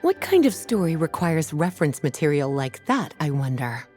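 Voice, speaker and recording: A woman voicing a poised, teasing librarian in a video game, speaking in an unhurried, coy, knowing way. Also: low voice, very animated, darkish tone, soft background music.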